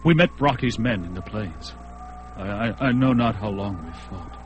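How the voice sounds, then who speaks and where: An actor playing a fierce young barbarian hero in a classic radio drama, with a bold, declamatory manner. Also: male voice, fairly low pitch, expressive, moderate pace, faint music behind, close to an everyday voice. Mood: shaken. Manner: bold, declamatory, shifting to shaken murmurs.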